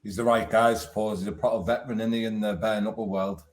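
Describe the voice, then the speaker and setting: A man's voice: lowish voice, steady tone, fast talker. A man hosting a casual interview, friendly and informal. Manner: friendly, chatty, informal slang. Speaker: podcast host.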